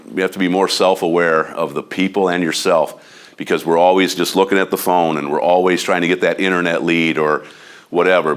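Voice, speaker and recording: A deep-voiced man with a Midwestern American accent talks loudly and bluntly. His manner is animated and a bit overbearing, like a seasoned agent coaching colleagues in an office meeting. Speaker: real estate agent giving a training talk. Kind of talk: informal training talk to a room of agents.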